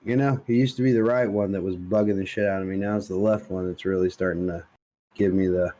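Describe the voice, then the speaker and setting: A man's voice: deep voice, fairly even intonation, quick pace, dark tone. A man co-hosting a podcast in casual conversation, blunt and opinionated in the way he talks. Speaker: podcast co-host.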